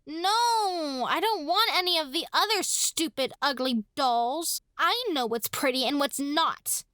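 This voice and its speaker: A woman's voice: high voice, unhurried, sharp tone, dry recording, studio quality. A woman playing a vain, bratty, spoiled little girl in a horror radio drama. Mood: scornful.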